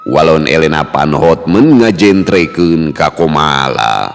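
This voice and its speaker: A man narrating a scripted folk tale as a storyteller, measured and dramatic. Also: extremely low pitch, expressive, vocal fry. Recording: long reverb, music bed.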